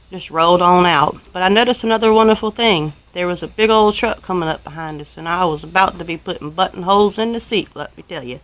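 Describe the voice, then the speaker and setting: A woman's voice: fairly low pitch, low-quality recording. A woman with a Southern US accent tells a personal story to viewers in a casual, folksy, rambling way, using country turns of phrase. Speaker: homestead vlogger telling a personal story.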